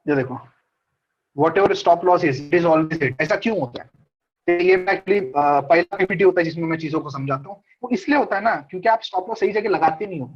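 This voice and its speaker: भारतीय लहजे वाला एक पुरुष ट्रेडिंग मेंटर, जो ऑनलाइन कॉल पर हिंदी और अंग्रेज़ी मिलाकर, बातें दोहराते हुए बातचीत के अंदाज़ में क्लास लेता है।. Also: male voice, fairly high pitch. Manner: chatty, mixes Hindi and English, repeats points. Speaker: trading mentor. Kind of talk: casual online trading class. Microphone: video call. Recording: dull lo-fi call audio.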